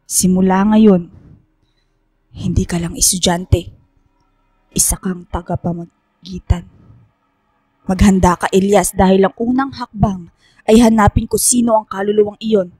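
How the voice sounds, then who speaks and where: An actress playing a grave, commanding professor and mystical mentor, speaking deliberately and portentously in a radio drama. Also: female voice, medium pitch, steady tone, warm tone, slightly rough, no room sound.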